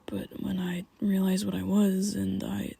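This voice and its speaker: Young male vampire, guilt-ridden best friend, hushed ASMR whisper with a raspy, fry-edged voice; a man performs it. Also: high voice, completely flat delivery, exaggerated voice. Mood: hushed confessional. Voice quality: soft close whispering. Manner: halting, confessional, with restarts. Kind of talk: ASMR roleplay audio.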